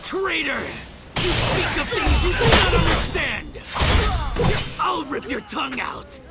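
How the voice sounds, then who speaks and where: Vengeful female game villain: slow, gravelly, raised voice, theatrical menace, loud music behind. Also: very high voice, expressive, heavily acted. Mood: enraged. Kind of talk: game cutscene acting.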